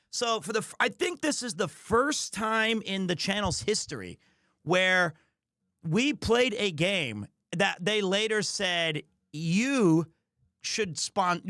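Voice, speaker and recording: A man hosting a livestream, talking casually to his audience in a rambling, jokey, expressive way. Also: high-pitched, dry recording, studio quality. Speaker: livestreamer and host. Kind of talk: casual chat to a stream audience.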